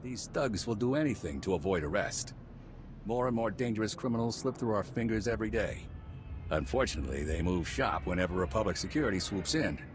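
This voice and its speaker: A man's voice: lowish voice, expressive, a little fast, background noise, natural voice. A man playing an earnest, determined city security officer in a video game. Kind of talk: scripted video game dialogue.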